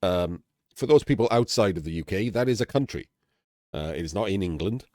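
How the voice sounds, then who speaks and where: Very deep-voiced Welsh man, chatty. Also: expressive, fairly quick, darkish tone, no echo, clean studio sound. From Wales. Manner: candid, chatty, frequent fillers and throat clearing. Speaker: streamer and channel host. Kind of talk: casual talk to camera-less audience.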